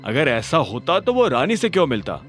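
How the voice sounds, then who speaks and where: A man playing a determined, suspicious young hero in an audio drama, speaking in a brooding, deliberate way. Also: a little high, very animated, fairly bright tone, faint music behind. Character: determined, suspicious young man hunting his father's killer.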